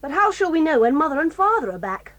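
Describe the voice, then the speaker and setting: A woman's voice: high voice, big pitch swings, quick pace, fairly bright tone. An actress playing a bold, stubborn tomboy girl who speaks confidently and decisively.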